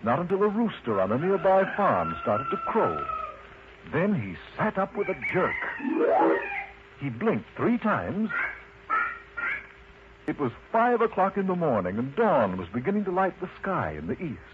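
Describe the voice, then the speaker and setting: A man narrating a children's story in an expressive, unhurried manner, addressing the listener directly. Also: on the high side. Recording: muffled lo-fi recording with loud noise.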